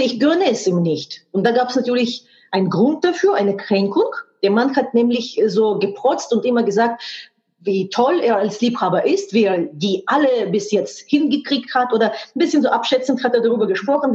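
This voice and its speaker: A woman's voice: on the high side, darkish tone. A woman speaking a language that is not her first, a guest in a candid conversation, talking frankly and emphatically with earthy expletives and restarting as she searches for words. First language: not German. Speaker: guest, sex educator and hypnotherapist. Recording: dull, muffled audio.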